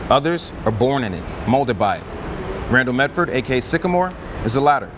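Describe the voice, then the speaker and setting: A man's voice: mid-range voice, lively intonation, brisk. A man narrating and interviewing, with casual, loose questions. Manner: casual, uses "like" a lot when asking. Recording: street noise behind.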